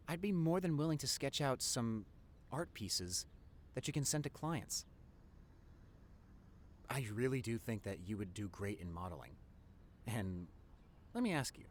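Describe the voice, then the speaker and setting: Casting: male actor as devoted nerdy young boyfriend for audio roleplay; mid-range voice, lively intonation, earnest and teasing. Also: mid-range voice, a little hiss or hum, close to an everyday voice. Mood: teasing, affectionate.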